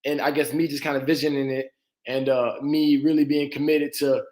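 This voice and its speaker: Laid-back young dentist from Atlanta. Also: male voice, mid-range voice, moderate pace. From Atlanta, Georgia. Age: 20s. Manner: relaxed, laughs easily, frequent "uh" and "you know". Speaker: dentist guest. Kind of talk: casual interview talk.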